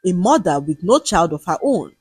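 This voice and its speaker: A woman voicing a gentle, weary, wronged wife in a folktale, speaking in a quiet, pleading manner. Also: lowish voice, very animated, normal speed, breathy edge, no room sound, soft background music. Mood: sad, quiet.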